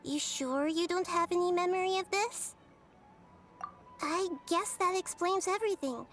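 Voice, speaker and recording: A woman's voice: very high-pitched, unhurried, fairly bright tone, soft background music. A woman voicing a young, shy, clever female tinkerer in a video game, with a modest, understated manner. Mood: thoughtful.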